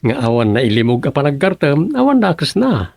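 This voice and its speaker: A principled husband in an Ilocano radio comedy drama. His voice is on the high side for a man and quite creaky, recorded dry. He explains himself earnestly, a little defensively, with a Filipino Ilocano accent. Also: huge pitch swings, brisk, darkish tone, no echo, heavily acted.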